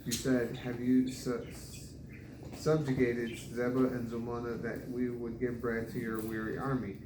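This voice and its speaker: A man reading scripture aloud in a halting way, stumbling over difficult names. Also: mid-range voice. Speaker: scripture reader. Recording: echoey room with background noise.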